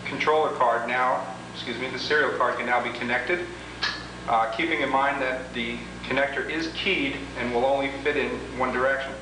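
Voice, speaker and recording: A man's voice: mid-range voice, steady tone. Guy doing a methodical how-to demo, mic far off, noisy old 80s tape. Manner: deliberate, methodical explaining. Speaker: product demonstrator. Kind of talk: instructional demo walkthrough, step by step. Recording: roomy, echoing, noisy 1980s demo tape, distant mic.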